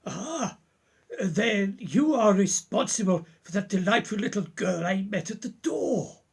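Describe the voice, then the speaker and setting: A man acts a genial older professor for a radio play. The voice is very husky and slow, with lively rises and falls. The manner is formal, courteous and quietly probing. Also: high-pitched, heavily acted. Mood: courteous, concerned. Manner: formal, measured old-world courtesy.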